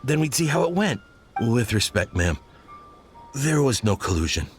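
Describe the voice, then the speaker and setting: Man playing an evasive, mid-pitched military subordinate. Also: big pitch swings, normal speed, faint music behind, close to an everyday voice. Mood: nervous, defensive. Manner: deferential, defensive. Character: evasive male military subordinate making excuses. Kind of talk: video game voice acting.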